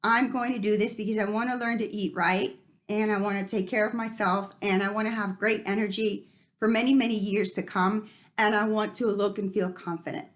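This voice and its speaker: A woman from Guatemala tells her own story in an earnest, reflective voice of middle pitch. She pauses on ums and restarts sentences as she thinks. She sounds like a fitness founder speaking plainly to her viewers. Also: fairly even intonation. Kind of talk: personal story told to camera.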